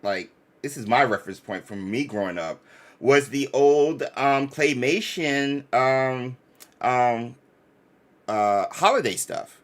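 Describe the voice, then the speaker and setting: A man's voice: medium pitch, expressive, takes it slowly. A man co-hosting a casual live reaction stream, enthusiastic and slangy, often repeating himself for emphasis.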